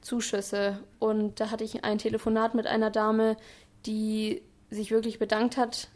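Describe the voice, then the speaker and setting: A woman's voice: a little high, completely flat delivery, measured pace, darkish tone. A woman of twenty-one, a trainee, answering interview questions earnestly and at length, with some fillers. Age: 20s. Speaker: trainee in public administration.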